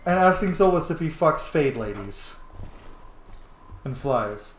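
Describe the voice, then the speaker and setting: A man's voice: on the high side, lively intonation, slow pace, roomy sound, slight background noise. A man giving casual, chatty gameplay commentary, exclaiming and swearing as he plays. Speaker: let's player narrating gameplay.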